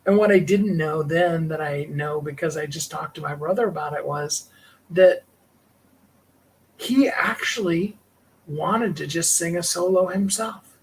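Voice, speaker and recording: A middle-aged man with a higher tenor voice, hosting a livestream and talking in a rambling, heartfelt way with small laughs. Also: measured pace, a little hiss or hum, poor audio. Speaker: livestream host and singer. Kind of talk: reflective personal livestream talk.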